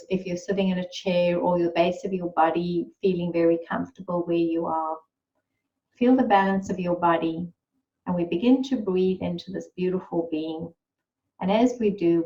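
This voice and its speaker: Slow, soothing woman guiding meditation. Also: on the low side, warm tone, lo-fi sound. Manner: slow, soothing and unhurried, with long pauses. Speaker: meditation guide. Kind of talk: guided meditation.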